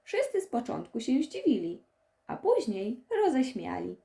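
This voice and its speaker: Woman narrating a kids audiobook, lively up and down pitch, soft mellow tone. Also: unhurried, gravelly. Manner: expressive storytelling for young children. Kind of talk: scripted children's story narration.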